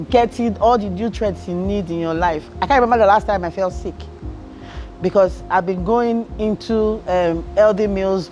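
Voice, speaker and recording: A woman's voice: mid-range voice, darkish tone. Woman giving testimony over faint music. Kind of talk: documentary interview, personal account.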